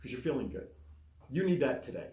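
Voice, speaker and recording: A man's voice: mid-range voice, fairly quick, reverberant. A man co-hosting a talk show, chatting casually and reading through a list of topics. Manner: lively, reads through a list. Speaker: podcast co-host. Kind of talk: casual chat. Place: small studio.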